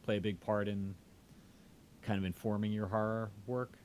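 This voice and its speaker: A man's voice: fairly even intonation, moderate pace, a little mellow. A man co-hosting a casual interview, thinking aloud tentatively as he puts his ideas together. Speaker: podcast host. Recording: clear background noise, low rumble.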